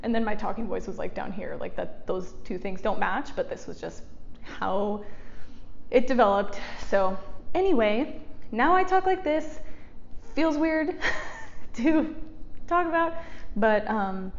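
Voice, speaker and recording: A young woman chatting to her viewers while getting ready, rambling and laughing often, with a soft, resonant voice. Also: medium pitch, lively intonation, unhurried, gravelly, pauses often, lo-fi sound. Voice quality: soft resonant speaking voice after speech therapy. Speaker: YouTuber. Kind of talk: chatty get-ready-with-me vlog. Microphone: desk mic in front of her.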